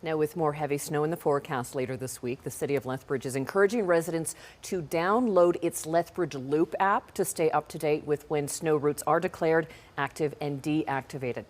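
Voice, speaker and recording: A woman's voice: sits low, normal speed, hardly any pauses, darkish tone. A Canadian woman reading scripted local news as an anchor.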